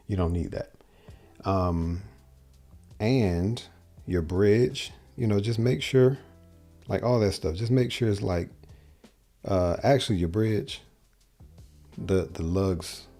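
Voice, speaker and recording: Low, husky-voiced man teaching guitar. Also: mellow. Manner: loose, rambling, self-correcting explanations. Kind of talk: casual video lesson. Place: small home studio, indoors. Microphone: large dynamic mic on boom arm.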